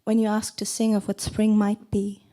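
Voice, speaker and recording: A woman poet, not a native English speaker, reading her poems aloud at a literary event in a soft, measured voice. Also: mid-range voice, monotone. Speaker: poet reading at a book presentation. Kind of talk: poetry reading with brief asides.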